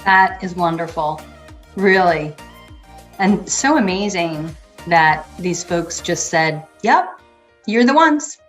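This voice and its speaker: A woman's voice: fairly low pitch, animated, slow pace, faint music behind. A woman interviewer who reacts with enthusiasm and encourages her guest. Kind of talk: conversational interview.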